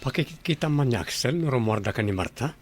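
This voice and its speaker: An actor playing a calm, gentle ranch owner who gives instructions in a radio drama. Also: male voice, mid-range voice, brisk, faint music behind, lightly acted.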